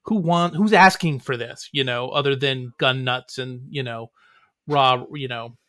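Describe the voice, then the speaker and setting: A man's voice: medium pitch, unhurried, pushed and bright, no room sound, clean studio sound. An American man talking casually and wryly as a podcast guest. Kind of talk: casual discussion.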